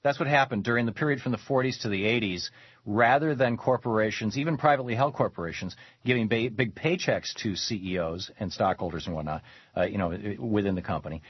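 An older man hosting a call-in radio talk show, wry and quick to laugh, with stammering restarts. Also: lowish voice, husky, no room sound. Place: radio studio. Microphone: studio mic. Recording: dull band-limited radio audio.

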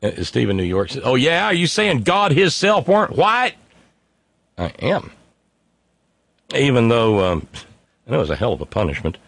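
A man's voice: mid-range voice, huge pitch swings, normal speed, gravelly, pauses often, fairly bright tone, dry recording. A man hosting a live stream, talking in a rambling, wry way with many fillers and restarts while he reads out viewer comments. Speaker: livestream host reading chat. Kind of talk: casual unscripted monologue.

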